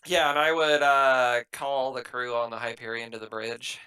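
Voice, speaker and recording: A man playing a tabletop roleplaying game, explaining things in a halting, matter-of-fact way as he improvises in character. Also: medium pitch, little pitch movement, pushed and bright, smooth.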